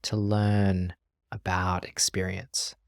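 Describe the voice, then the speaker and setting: A meditation teacher leading a guided practice in a gentle, calm, measured way with long pauses. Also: low-pitched, no room sound, studio quality.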